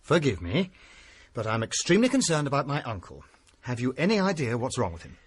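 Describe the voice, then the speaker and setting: A young actor playing an earnest, anxious nephew in a radio drama, urgent and sometimes sharp. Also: male voice, mid-range voice, wildly swooping pitch, a little fast, slightly rough. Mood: impatient.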